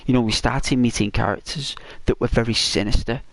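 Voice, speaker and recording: A man hosting a gaming channel, chatting casually and rambling to his viewers in a croaky, hoarse voice. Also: mid-range voice, steady tone, fairly quick, a bit bright, dry recording, low-quality recording. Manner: rambling, talks straight to viewers.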